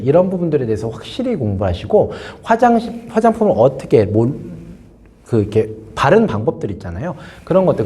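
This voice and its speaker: Lively man with a sore throat. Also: a little high. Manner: lively, emphatic, with many fillers and asides. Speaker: host giving a business lesson. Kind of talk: casual spoken lecture to camera.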